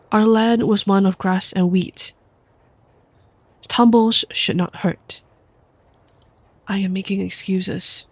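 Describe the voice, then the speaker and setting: A young woman with a stuffy nose from a cold, reading a book aloud softly as an ASMR streamer into a close microphone. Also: mid-range voice, dry recording. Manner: soft, quiet, unhurried reading voice. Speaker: VTuber streamer.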